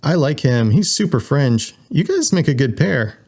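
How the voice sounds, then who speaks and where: Casting: man to voice a cheeky male corgi space thief for an audiobook, pitch lifted, cocksure. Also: big pitch swings, normal speed, a little mellow, breathy edge. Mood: teasing.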